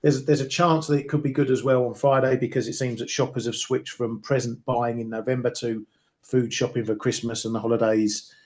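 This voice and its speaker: A man's voice: mid-range voice, fairly even intonation, a little fast, darkish tone. A man, a market analyst, discussing the news and economic data in a casual, hesitant way with many fillers.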